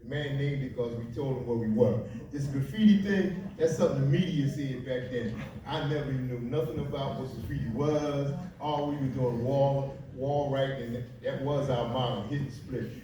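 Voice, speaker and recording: A man's voice: medium pitch, normal speed, recorded from a distance, background noise. A seventy-year-old man from Philadelphia, a panelist reminiscing and joking with the audience, often laughing. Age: 70s. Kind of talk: panel storytelling. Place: large library event room. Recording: echoey hall with audience, recorded from afar.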